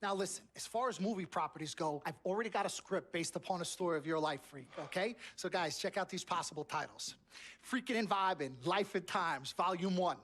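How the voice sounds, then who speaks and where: A man's voice: sits high, brisk, fairly bright tone. An American man playing a brash, cocky sports agent in a video game cutscene, talking like a boastful salesman. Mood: pitching, excited.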